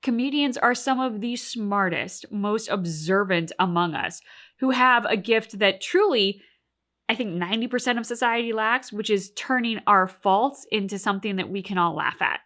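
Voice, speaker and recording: A woman's voice: medium pitch, normal speed, a bit bright. A woman talking casually and reflectively as a co-host, earnest and talkative, peppering her speech with "like" and "right". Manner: swears casually. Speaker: co-host of a reaction channel.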